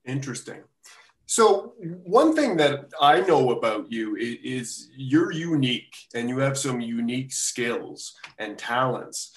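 A man interviews a guest in a friendly, encouraging voice. He sounds distant from the mic, and the room around him is echoey. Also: medium pitch. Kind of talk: interview questions.

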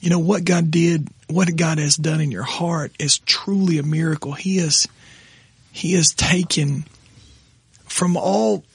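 A middle-aged pastor, hoarse and raspy tonight, talking haltingly with his wife on their podcast. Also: on the high side, fairly even intonation, dry recording. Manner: halting, restarts often when moved. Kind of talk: casual conversation with his wife.

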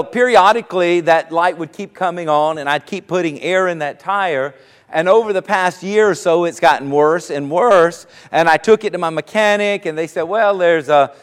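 A man preaching as a pastor, telling a personal story in a relaxed, conversational way before slowing down to stress his main points. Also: a little high, clean tone, in a large hall. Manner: storytelling, conversational, slows for emphasis.

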